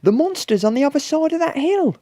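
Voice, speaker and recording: A squeaky little storybook animal, polite and earnest. The pitch is high and jumps around freely. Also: big pitch swings, brisk, mellow. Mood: alarmed. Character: small eager animal leader, squeaky and polite.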